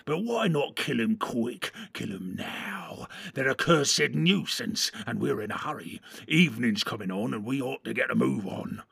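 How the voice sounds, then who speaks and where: Storybook orc soldier: harsh, hoarse-edged and tense, quarrelsome and impatient. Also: male voice, mid-range voice, expressive, a little slow, hardly any pauses, fairly bright tone, no room sound, full character voice. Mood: urgent, bloodthirsty. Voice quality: harsh, raspy. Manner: snappish, grumbling. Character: quarrelsome, bloodthirsty, impatient rank-and-file orcs. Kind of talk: audiobook character voice.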